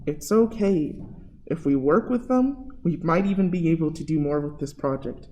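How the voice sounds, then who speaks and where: A man's voice: fairly high pitch, lively intonation, normal speed, a little mellow, dull audio, lightly acted. A man playing a worn, pragmatic biologist in a radio play, practical and pleading. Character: worn, pragmatic male biologist and parent needing the paycheck.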